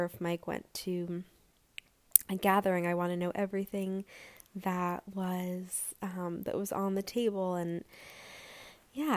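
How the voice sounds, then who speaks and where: A woman hosting her own podcast in a gentle, rambling chat, her voice a little raspy and hoarse, with plenty of fillers. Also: lowish voice. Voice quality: raspy, breathy. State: slightly hoarse. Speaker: podcast host. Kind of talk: solo podcast intro, casual chat.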